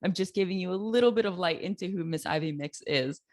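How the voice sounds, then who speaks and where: A woman's voice: lowish voice, fast talker. A woman hosting a casual interview podcast, chatty and upbeat, laughing often. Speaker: podcast host.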